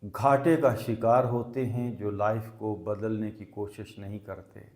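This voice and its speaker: A man leading a guided meditation as a teacher, speaking in deliberate short phrases with long pauses. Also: lowish voice, level tone, darkish tone. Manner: mixes English words into Hindi. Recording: echoey, reverberant room sound.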